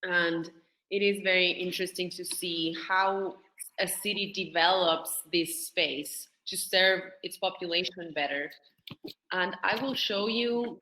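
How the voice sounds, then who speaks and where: A woman's voice: lowish voice, pushed and bright, roomy sound, low-quality recording. A woman, speaking as a panelist on a video call in a friendly way, a little flustered by her screen share. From Argentina.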